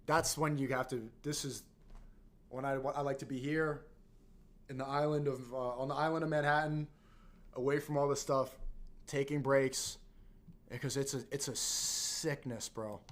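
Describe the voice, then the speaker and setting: A man with a mid-range voice reacts live to clips. He is blunt and casual and drops "bro" into his sentences. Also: mid-range voice. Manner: blunt, slangy, says "bro". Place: Manhattan.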